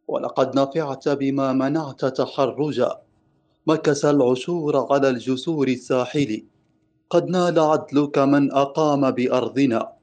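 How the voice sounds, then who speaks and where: A man's voice: mid-range voice, darkish tone. A man with an Algerian Arabic accent calling in to a radio show for the first time, hesitant, then reciting a classical poem. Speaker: caller. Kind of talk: phone call, poem recitation.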